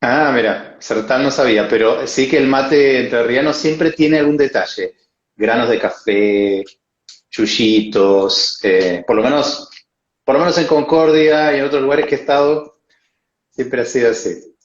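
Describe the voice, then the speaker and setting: A man's voice: mid-range voice, measured pace. A man with an Argentine accent, an academic guest chatting casually on a live video call, rambling with frequent restarts. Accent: Argentine Spanish. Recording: muffled, roomy video call audio.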